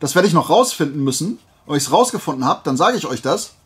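A man's voice: on the high side, very animated, fast, fairly bright tone. A man hosting a casual YouTube haul vlog, talking informally in a rambling way as he thinks aloud. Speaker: YouTube host.

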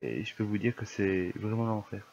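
A man's voice: lowish voice, lively intonation, normal speed, creaky, darkish tone, highs cut off, slight background noise. A young man with a cold talking through his gameplay in casual, grumbling commentary, with a slightly stuffy voice. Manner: grumbling, easily annoyed at lag. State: has a cold, coughing. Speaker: gamer.

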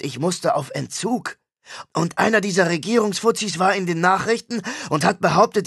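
Give me a hot-headed, vain rock star shouting indignantly, a touch higher and gravelly, as a man voices him in an audiobook. The voice sits close and dry, with no room around it. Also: no room sound, heavily acted. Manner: loud, indignant, swearing. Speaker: audiobook character.

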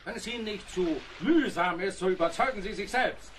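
High-pitched, tyrannical sea captain. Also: male voice, fairly quick, fairly bright tone, slight background noise, low-quality recording. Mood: biting sarcasm. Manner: barking, sarcastic, accusing. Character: tyrannical, suspicious ship's captain, quick to rage. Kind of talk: historical radio play acting.

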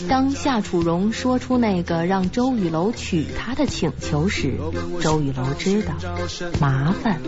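A woman's voice: lowish voice, expressive, a little slow, highs cut off. Woman narrating suspense over music. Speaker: audiobook narrator. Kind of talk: scripted narration of a suspense story.

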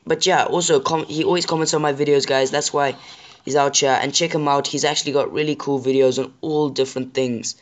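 Chatty gamer with a sore throat. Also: male voice, a little high, fairly even intonation, a little fast. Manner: chatty, apologetic, addresses viewers directly. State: sore throat, voice a bit rough. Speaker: gaming channel host. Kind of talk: casual gameplay commentary.

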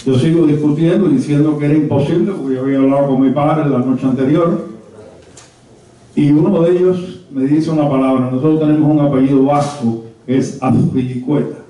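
An older Cuban man in his seventies or beyond reminisces before a small group in a conference room. His voice is very dark and muted, with a deliberate, halting storytelling delivery. It is picked up from a distance, swimming in heavy room echo. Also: fairly high pitch, fairly even intonation. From Havana, Cuba. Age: 70s or older. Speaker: conference speaker. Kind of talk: personal reminiscence told to a small audience. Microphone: handheld mic, recorded from a distant camera. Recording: very echoey, muffled room from afar.

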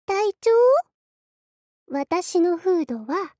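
A woman voicing a gentle, cozy young girl soldier in an anime game, with a soft, cutesy and politely familiar manner. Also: very high voice, animated, very slow, no echo, clean studio sound, full character voice. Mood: friendly, sweet. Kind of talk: anime mobile game character voice lines.

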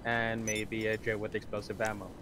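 A man's voice: lowish voice, monotone, moderate pace. A man chatting casually with friends during a multiplayer game, explaining things in a matter-of-fact way. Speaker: gaming companion. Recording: voice chat with game vehicle noise. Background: video game vehicle noise.

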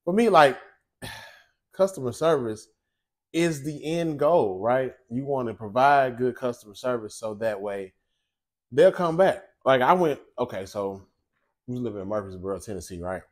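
Chatty forties male cook-show host, mid-pitched, talks to camera from his home kitchen. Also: moderate pace. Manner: chatty, animated, talks straight to viewers. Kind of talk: casual cooking chat and monologue.

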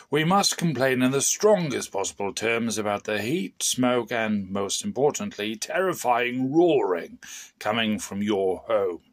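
A man's voice: fairly low pitch, vocal fry. Man playing a pompous, stuffy solicitor. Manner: pompous, formal. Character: stuffy, pompous solicitor reading a stern complaint.

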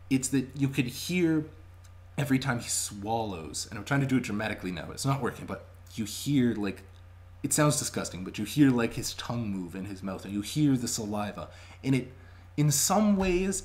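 A young adult man talking casually and emphatically to a webcam at home, chatty and enthusiastic as he gives a review. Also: medium pitch. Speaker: vlogger reviewing audiobooks. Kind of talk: casual spoken review to camera. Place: small indoor room at home. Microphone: webcam microphone at arm's length.

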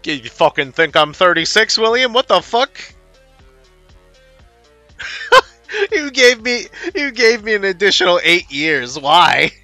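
A man's voice: high voice, big pitch swings, takes it slowly, long pauses, sharp tone, no echo. A young man in his twenties, a non-native English speaker, chatting casually with his stream chat while gaming, laughing often and swearing freely. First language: not English. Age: 20s. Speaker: game streamer. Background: background music.

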